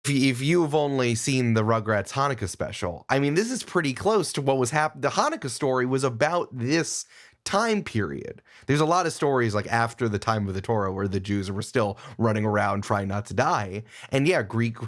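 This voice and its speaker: A man talking casually as a podcast guest, opinionated and animated, often digressing as he argues his point. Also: medium pitch, moderate pace.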